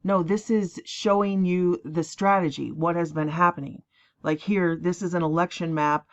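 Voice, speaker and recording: A woman's voice: on the low side, fairly even intonation. Tired, rambling woman on a dull lo-fi recording. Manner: rambling, emphatic, mocks other views in quick asides. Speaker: online prophecy channel host.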